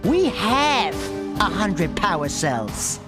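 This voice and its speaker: A man's voice: high voice, sing-song, takes it slowly, exaggerated voice. A man playing a small, cocky, wisecracking animal sidekick in a cartoonish video game. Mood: boastful, brash. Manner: brash, wisecracking, boastful. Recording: game music behind.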